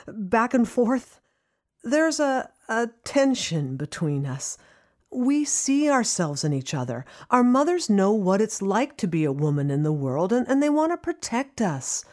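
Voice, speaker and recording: An actress playing a wry, reflective adult daughter in an audio drama, confiding her story with pauses and sighs. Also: on the high side, darkish tone.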